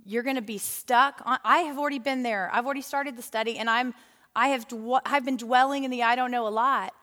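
Woman, Bible study teacher, higher voice, chatty and animated, long hall reverb. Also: fairly quick. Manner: animated, chatty, with frequent fillers. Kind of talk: lively teaching talk to a women's Bible study group.

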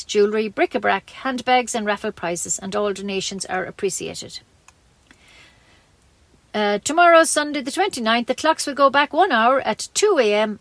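A woman's voice: medium pitch, fairly bright tone, no room sound. An Irish woman reading scripted local news as a community radio newsreader.

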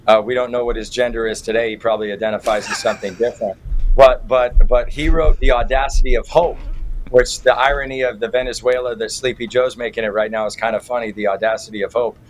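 A man's voice: medium pitch, fairly even intonation, a little fast. A middle-aged American man answering interview questions as an activist guest, with an irreverent, colloquial manner and folksy turns of phrase. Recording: clearly noisy background.

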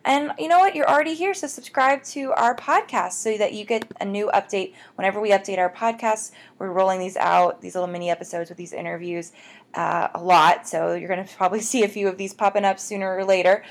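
An American woman hosts a podcast with plenty of enthusiasm. Her voice sits at a middle pitch, and she talks casually and gushingly, as if chatting to regular listeners. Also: a little fast. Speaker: podcast host.

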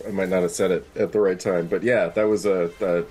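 A man's voice: low-pitched, fairly even intonation, fairly quick, a little mellow, husky, soft background music. A man chatting casually as a player in a tabletop roleplaying game.

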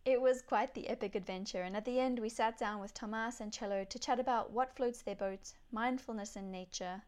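A woman hosting a podcast, reading a clear, upbeat scripted introduction. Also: medium pitch, darkish tone. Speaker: podcast host.

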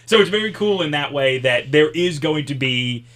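A middle-aged man with a light Southern American lilt, hosting a podcast in easy, rambling chat. His voice is bright and forward, and he breaks into laughs easily. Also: fairly high pitch, lively intonation, moderate pace. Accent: mild Southern American. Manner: chatty, laughs often, rambling asides. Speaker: podcast host. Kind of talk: casual interview chat.

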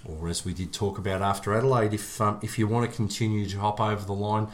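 A man's voice: lowish voice, fairly even intonation, fast. Dry, pedantic Australian man. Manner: pedantic, dryly sarcastic. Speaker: co-host. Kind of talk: casual podcast chat.

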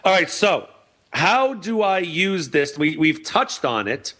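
A man hosting an interview, energetic, outgoing and loud by his own account. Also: high voice, takes it slowly. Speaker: host, marketing event organizer. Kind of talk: interview host.

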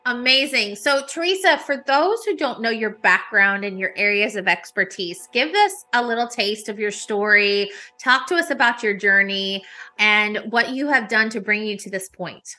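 Woman, podcast host, upbeat, enthusiastic, very bright tone. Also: medium pitch, moderate pace. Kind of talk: podcast interview hosting.

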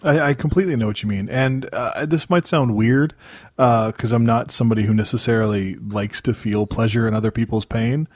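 A man's voice: mid-range voice, fairly quick, darkish tone, no room sound, studio quality. A man from Mississippi chatting casually as a podcast guest, hesitant, rambling and self-deprecating. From Mississippi, United States. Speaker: podcast host appearing as guest. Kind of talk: casual chat.

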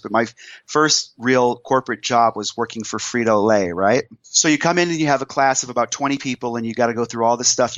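A man from Iowa, a podcast guest, whose voice is hoarse, chatting casually and telling stories in an animated, joking way. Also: mid-range voice, dry recording, muffled recording. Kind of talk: casual chat.

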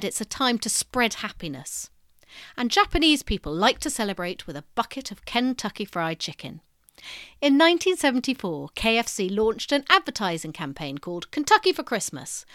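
A woman reads scripted educational narration like a storyteller. Her voice sits fairly high and swoops with lively, varied intonation. The recording is dry and close, with very little room sound. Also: no room sound. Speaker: presenter of an educational history podcast.

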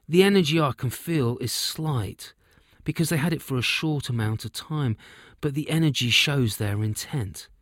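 A man voicing a wise older man explaining calmly and slowly in a storybook reading. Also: medium pitch, no echo, clean studio sound, voice pushed up, close to an everyday voice. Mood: grave. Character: wise old man, calm seer.

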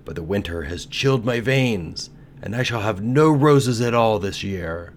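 A man's voice: on the low side, animated, a little slow, slight background noise, pitched above the performer's own voice. A man voicing a solemn talking rose tree in a storybook, with a grand, declamatory manner. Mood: regretful. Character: proud, solemn old rose tree, grave and foreboding.

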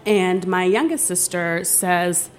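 Woman, wedding planner guest, friendly, rambling, lowish voice, faint noise. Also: slow. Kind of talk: casual interview talk. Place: hotel studio.

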